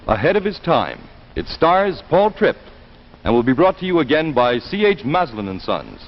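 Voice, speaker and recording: An American man announcing a scripted 1950s sponsor message with polished, enthusiastic salesmanship. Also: medium pitch. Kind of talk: 1950s broadcast commercial, smooth scripted pitch. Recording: muffled vintage 1950s broadcast.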